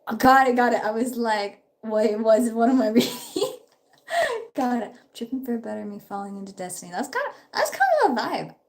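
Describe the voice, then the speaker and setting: A woman's voice: fairly high pitch, lively intonation, fairly quick, slight background noise. A young woman in her twenties, a bit sick, chatting upbeat and rambling during a casual live stream at home while doing her makeup. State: slightly sick. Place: home, her parents' house.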